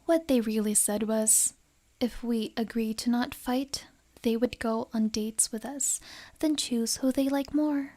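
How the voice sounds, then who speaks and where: A woman plays a wealthy, possessive noble lady for an ASMR romance roleplay. Her voice is fairly high and very soft-edged, with breathy, unhurried, refined phrasing. A gentle politeness covers her menace. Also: fairly even intonation. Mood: earnest.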